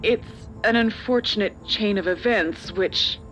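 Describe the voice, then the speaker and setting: A woman's voice: mid-range voice, fairly bright tone, soft background music, lightly acted, low-quality recording. A middle-aged woman playing a calculating, slightly condescending corporate official and parent in a dramatic video game. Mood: evasive, coaxing. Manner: measured, persuasive, slightly condescending. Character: older female corporate official and parent, calculating, persuasive, patronizing.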